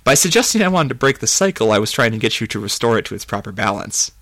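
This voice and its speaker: A man's voice: on the low side, sing-song, fast talker, fairly bright tone, no echo, clean studio sound. A man voicing a smug, triumphant male immortal in an audiobook, explaining things in a pleased, knowing way. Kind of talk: audiobook character voice.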